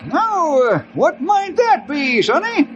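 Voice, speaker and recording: A man's voice: very high-pitched, big pitch swings, takes it slowly, a bit bright, lo-fi sound, full character voice. A man playing a folksy, teasing old ranger sidekick in an old-time radio adventure. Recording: noisy old radio drama recording.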